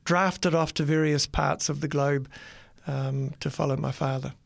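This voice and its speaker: A New Zealand-born man in his fifties, a college dean, answering interview questions in a measured, modest way in a studio. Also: a little high, fairly even intonation, gravelly, dry recording. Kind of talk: conversational interview.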